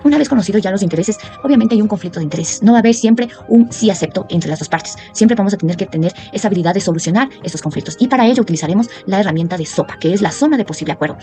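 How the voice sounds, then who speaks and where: Faint music sits behind a woman delivering an academic presentation. She talks very quickly in a dark, relaxed timbre. Her manner is didactic, listing and explaining each point in turn. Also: mid-range voice. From Ecuador. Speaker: university student presenter.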